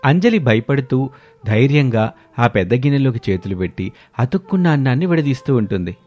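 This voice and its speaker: A man giving scripted narration of a family story in a steady storytelling manner. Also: mid-range voice, brisk, faint music behind.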